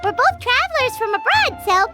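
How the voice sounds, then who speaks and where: A woman voicing a tiny, chirpy and blunt fairy companion in a video game, lively and always asking questions. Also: over loud music. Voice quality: very high, squeaky. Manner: lively, quick to react and ask questions.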